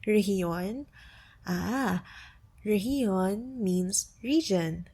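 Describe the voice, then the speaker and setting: A woman acting as an affectionate young Filipino girlfriend in an ASMR roleplay. She speaks slowly at a medium pitch with a Filipino accent, mixing teasing tenderness with patient language tutoring. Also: dark tone, slightly rough, full character voice. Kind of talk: soft ASMR roleplay, Tagalog lesson mixed with English.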